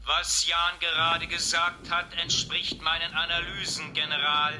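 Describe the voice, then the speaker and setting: High, monotone little robot, acted by a man. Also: measured pace, very noisy, close to an everyday voice. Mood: matter-of-fact. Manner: precise, clinical, matter-of-fact. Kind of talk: German children's audio drama acting.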